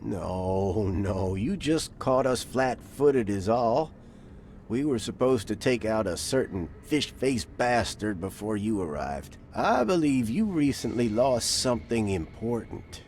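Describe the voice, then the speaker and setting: Cocky gravelly henchman, very animated. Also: on the low side, takes it slowly, a little hiss or hum, full character voice. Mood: smug. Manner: folksy, slangy, cocky. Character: cocky, slippery male henchman thug. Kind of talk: colloquial video game character acting.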